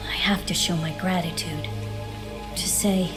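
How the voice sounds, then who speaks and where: A woman's voice: fairly low pitch, fairly even intonation, a little slow, music in the background, close to an everyday voice. A woman playing a proud, guarded exiled boy prince of about ten in an anime audio drama, haughty and introspective. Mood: brooding, reflective.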